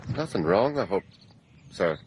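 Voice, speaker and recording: A homely working man of middle age, with a very mobile pitch. He talks colloquially, brushing worries aside and turning deferential with strangers, in a radio play with some background noise. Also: big pitch swings, normal speed. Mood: polite, uneasy. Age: middle-aged. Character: working-class father and husband, homely, uneasy, dismissive. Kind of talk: naturalistic radio drama acting.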